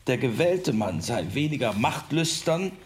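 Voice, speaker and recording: A man playing a skeptical parliamentary delegate in a documentary radio drama, speaking in a deliberate, pointed rhetorical way. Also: medium pitch, very animated. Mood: pointed, warning. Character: skeptical male parliamentary delegate, wary of state power. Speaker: actor reading a historical council delegate.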